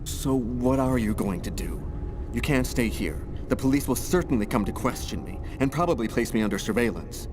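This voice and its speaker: A man's voice: medium pitch, animated, normal speed, close to an everyday voice. An actor playing a worried, sober man in a video game drama, with a grave and pleading manner. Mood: incredulous, concerned. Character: worried, devout brother, incredulous and sober. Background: music.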